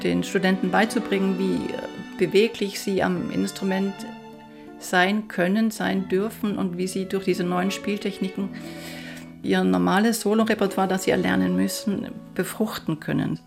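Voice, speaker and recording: A woman's voice: mid-range voice, fairly even intonation, normal speed, gravelly, over music. A middle-aged woman who grew up in Austria, a violinist speaking reflectively and with enthusiasm about her work in a documentary interview.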